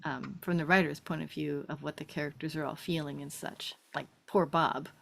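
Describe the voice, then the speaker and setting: A woman with a slightly low voice hosts a table read. She reads narration and chats casually in the same voice. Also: steady tone, normal speed, gravelly, a little hiss or hum. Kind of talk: script narration and casual chat.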